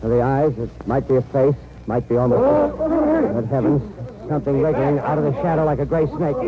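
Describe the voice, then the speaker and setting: An actor playing a horrified on-the-spot news reporter in 1930s radio drama, breathless, halting and awestruck. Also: male voice, a little high, big pitch swings, over a noisy crowd. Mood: mounting horror. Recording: tinny, noisy old radio broadcast.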